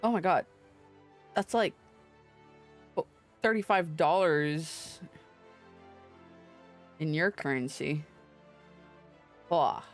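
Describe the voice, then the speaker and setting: A woman's voice: low-pitched, expressive, extremely slow, long pauses. A woman livestreaming a game, chatting irreverently with teasing and swearing. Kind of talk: livestream chat and reading game dialogue aloud. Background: game music.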